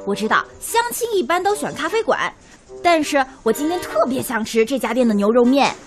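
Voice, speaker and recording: A woman's voice: sits high, big pitch swings, fairly quick, music in the background. A woman acting a scatterbrained, outspoken young food lover in a radio drama, chatty and impulsive. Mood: chatty, upbeat. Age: young adult.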